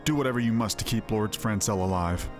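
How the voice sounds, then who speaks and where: A man's voice: fairly low pitch, a little fast, natural voice. A man voicing an earnest noble lord in a fantasy game, speaking formally and resolutely. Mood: urgent, resolute. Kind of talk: fantasy game dialogue read aloud. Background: game music.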